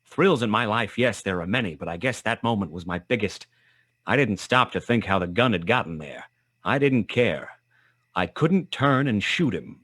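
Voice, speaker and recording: Low-voiced hardboiled detective, dry recording. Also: male voice, a bit bright, husky, no echo. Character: hardboiled male detective narrating his brush with death. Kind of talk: scripted noir radio play narration.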